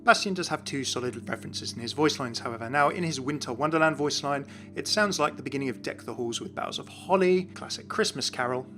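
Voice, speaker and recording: A man's voice: mid-range voice, animated, a little fast, few pauses, music in the background. A man giving an explanatory voice-over as the host of a game lore series.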